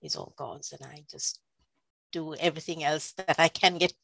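A woman's voice: deep voice, normal speed, gravelly, fairly bright tone. A middle-aged woman from Sri Lanka, a church administrator, who answers interview questions in a thoughtful, hesitant way with many fillers. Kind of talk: conversational interview.

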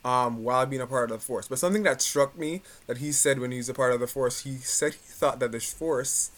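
A man co-hosting a podcast, animatedly retelling a news story with many pauses. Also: medium pitch, fairly bright tone. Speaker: podcast co-host. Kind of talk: casual chat, recounting news.

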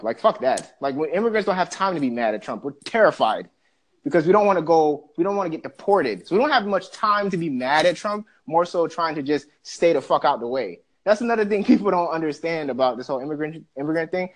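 Profane ranting podcast co-host from the Bahamas. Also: medium pitch. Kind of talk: casual podcast discussion.

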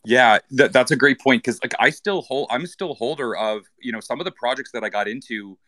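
A man's voice: mid-range voice, brisk, fairly bright tone, no room sound. A man from Canada chatting casually, rambling with many fillers and restarts. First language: English. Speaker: guest. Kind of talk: casual chat in an online audio space.

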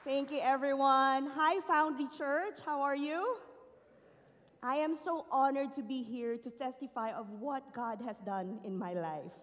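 A woman's voice: sits high, a little slow, fairly bright tone. Filipino-born woman testifying in a reverberant church. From Philippines. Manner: earnest, emotional storytelling with rising and falling emphasis. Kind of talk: personal testimony to a congregation. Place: large church auditorium. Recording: long hall reverb.

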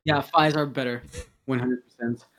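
A teenage boy co-hosting a podcast over a video call, excitable, swearing and restarting his sentences. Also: mid-range voice, normal speed, poor audio. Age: teens. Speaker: podcast co-host. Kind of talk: casual chat.

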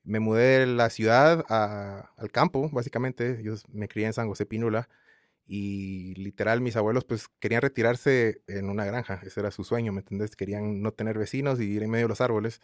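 Laid-back Guatemalan man, low voice. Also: fairly quick, dry recording, clean studio sound. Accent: Guatemalan Spanish. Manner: relaxed rambling with many fillers and repeated tags. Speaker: guest singer. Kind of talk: casual interview chat.

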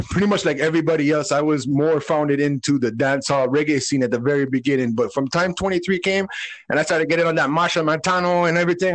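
A young man from the Bahamas, a DJ, chatting on a casual call-in podcast. His voice sits fairly high for a man, and he talks in a hyped, slangy way, full of shout-outs and street talk. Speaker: DJ and carnival spokesperson guest.

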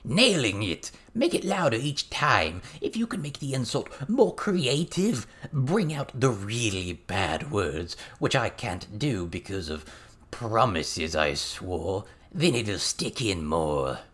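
Man voicing an animated, crude little goblin teacher. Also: fairly low pitch, slow pace, husky, full character voice. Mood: eager, instructive. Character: crude, excitable little male goblin teacher, foul-mouthed.

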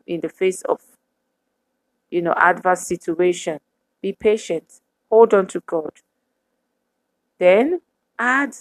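A woman preaching a sermon to women, speaking in measured, emphatic repetitions. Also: fairly low pitch, dry recording, poor audio.

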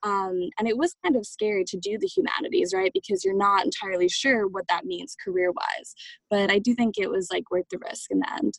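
A woman's voice: mid-range voice, lively intonation, fairly quick, vocal fry. A young woman talks through her answers as an interview guest. She is casual and chatty, leaning on "like" and "um" as she goes. The sound is dry and close, with no room echo. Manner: chatty, many "like" and "um" fillers.

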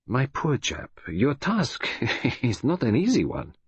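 A man's voice: medium pitch, huge pitch swings, takes it slowly, slightly rough, no room sound, lo-fi sound, voice pushed up, heavily acted. A man voicing a fussy, dapper older detective, precise and teasing.

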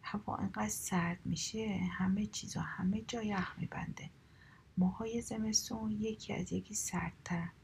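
A woman's voice: mid-range voice, fairly even intonation, vocal fry, a little mellow, slight background noise, natural voice. A woman whispering the part of a rude, sulky young woman who always complains, in a storybook reading.

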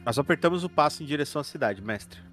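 A man's voice: on the high side, animated, fast talker, a bit bright, soft background music. A man with a Brazilian accent speaking as a player in an improvised tabletop roleplaying game.

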